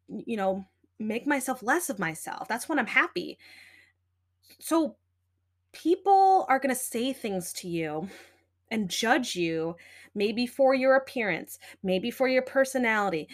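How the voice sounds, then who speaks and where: A young adult woman hosting a solo podcast, talking in a loud, animated, emphatic way with plenty of fillers. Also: on the high side. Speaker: podcast host. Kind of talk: casual solo podcast talk.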